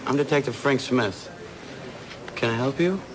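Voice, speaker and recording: An actor playing an inquisitive, plain-spoken police detective in an audio drama, casual and questioning. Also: male voice, medium pitch, unhurried, background noise, close to an everyday voice. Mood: wry.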